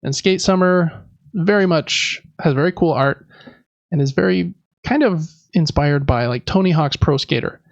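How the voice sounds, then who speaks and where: A man with a fairly high voice and a gravelly fry hosts a casual games podcast. He talks in a chatty, explanatory way. The recording is dry and a little dull. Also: moderate pace, dry recording. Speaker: podcast host. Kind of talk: casual board game podcast chat.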